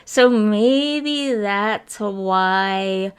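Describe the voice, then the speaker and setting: A woman talking over a building game in an unhurried, meandering way, full of little fillers and asides. Her voice is smooth and clear underneath but sounds slightly rough and stuffy today. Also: medium pitch. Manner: chatty and apologetic, rambling with fillers. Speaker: gaming video creator. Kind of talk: casual voice-over commentary over a speed build.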